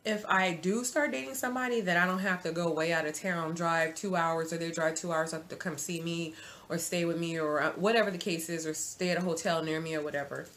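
A woman in her forties giving casual dating advice to viewers from her home, chatty and animated, calling the viewer sis. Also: lowish voice, fairly quick, a little hiss or hum. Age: 40s. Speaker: vlogger. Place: home room, indoors.

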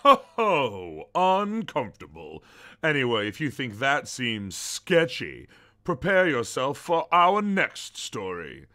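Man as sly gnome narrator, dramatic, bright tone, swooping delivery, knowing, cartoon storytelling. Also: mid-range voice, huge pitch swings, slow pace, dry recording, heavily acted. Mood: amused, ominous. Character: gossipy, theatrical little male gnome storyteller. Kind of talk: comic dub character narration.

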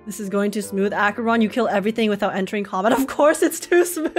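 A woman's voice: medium pitch, fast talker. Chatty young woman streaming a game. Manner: chatty, giggly. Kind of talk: casual game reaction commentary with dialogue read aloud. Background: game music.

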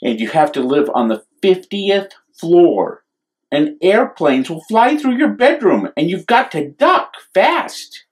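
Man as gloomy know-it-all kid, American accent, emphatic cautioning tone, storybook reading, unhurried. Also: high voice, very animated, full character voice. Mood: alarmed warning. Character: gloomy know-it-all boy with wild imagined warnings. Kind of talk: picture book storytelling.

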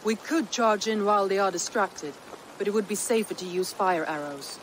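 A woman's voice: mid-range voice, moderate pace, a little hiss or hum, lightly acted, rough recording. A woman voicing a sober, practical warrior ally in a video game, grave and matter-of-fact. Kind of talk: dramatic video game acting.

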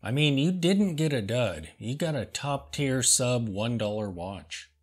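A man hosting a comedy show, reading product reviews aloud and answering them with deadpan sarcasm. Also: medium pitch, slightly rough. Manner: dry, sarcastic, slow and deliberate. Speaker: host.

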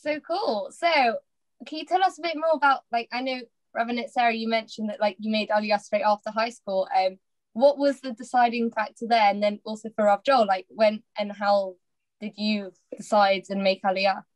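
A woman's voice: a little high, steady tone, normal speed, poor audio. A woman co-hosting a podcast in an upbeat, enthusiastic way. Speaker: podcast co-host.

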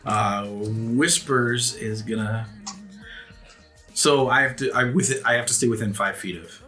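A man's voice: on the low side, measured pace, pushed and bright, soft background music. A man playing a tabletop game, casually describing his character's actions and calling out rolls.

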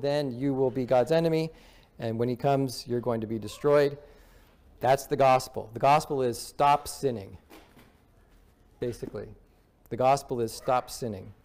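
A man's voice: medium pitch, a little mellow. A man in his forties, a visiting minister preaching a sermon from a church pulpit, explaining earnestly with emphatic lists. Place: church sanctuary, at a wooden pulpit.

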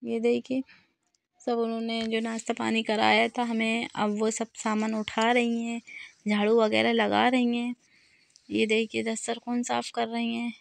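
A woman's voice: on the high side, monotone, a little slow, gravelly. A woman, narrating a family vlog in a casual way. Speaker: vlogger.

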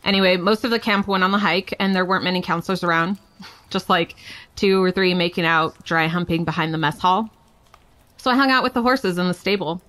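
A woman's voice: lowish voice, a little fast, a bit bright, close to an everyday voice. An actress playing a defiant, playful young woman telling her story in a frank, wry, casual way for an audio drama. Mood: wry, blunt.

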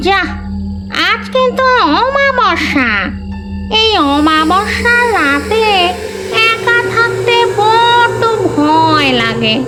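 A woman playing a lonely, lovesick female ghost in a comedy cartoon, pleading and scheming in a drawn-out sing-song. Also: very high voice, exaggerated voice. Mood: wistful, sing-song. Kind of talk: Bengali comedy cartoon voice acting.

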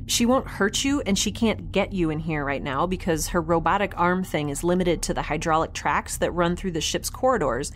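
A wry young woman scientist stranded in space , played by a woman for a sci-fi audio drama. Her voice sits a little low, and she talks in a hesitant, sardonic ramble over noticeable ambient noise. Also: close to an everyday voice. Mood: flustered, explaining. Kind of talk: conversational audio drama acting.

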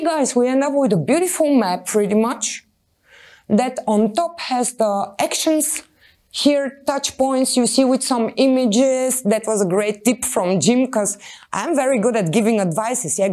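Woman, 30s, Bulgarian accent, conference speaker, animated, mellow voice, handheld mic. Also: fairly high pitch. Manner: animated, addresses audience as "guys", frequent "right?" tags. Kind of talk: conference talk. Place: meetup room with projector and audience.

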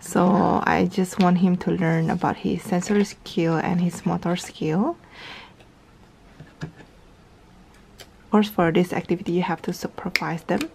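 A woman's voice: lowish voice, fairly even intonation, takes it slowly, gravelly, long pauses, a little mellow, a little hiss or hum, rough recording. A young mother chatting through a home vlog in her living room, explaining toys step by step in a casual, talkative way, recorded on a handheld camera. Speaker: parenting vlogger, mother. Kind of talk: casual how-to vlog narration. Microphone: handheld camera filming from above, speaker off screen.

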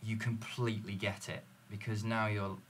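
A man's voice: fairly low pitch, flat delivery, moderate pace, a little hiss or hum. A man in a panel discussion, explaining fluently and telling stories from his business, recorded in a dim studio lounge. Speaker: company representative.

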